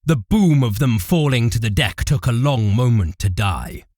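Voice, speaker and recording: Male narrator for audio drama, lively swings in pitch, dry close studio sound. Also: moderate pace, dry recording. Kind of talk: dramatic scripted fiction narration.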